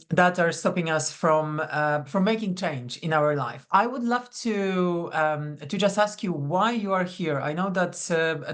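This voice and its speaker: A woman from Poland, a coach leading a live online masterclass in a chatty, welcoming way over a video call. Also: low-pitched. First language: Polish. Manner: welcoming, chatty, greets attendees by name. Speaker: psychonutrition coach.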